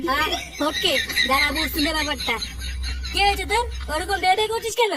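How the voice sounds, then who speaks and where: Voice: very high-pitched, lively intonation, brisk, music in the background. A performer voicing a bossy, hot-headed cartoon club chief who scolds in a comedy style.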